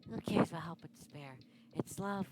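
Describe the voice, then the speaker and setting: A woman's voice: fairly low pitch, a little fast, noisy background, lightly acted. A woman playing an obsessed, giggling young woman. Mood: manic. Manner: dreamy, unsettling, giggling. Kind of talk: dramatic game dialogue. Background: loud game music.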